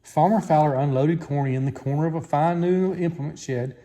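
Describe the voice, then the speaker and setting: A man's voice: a little high, brisk, warm tone. A man reading a children's story aloud as a scripted narration. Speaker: storybook reader.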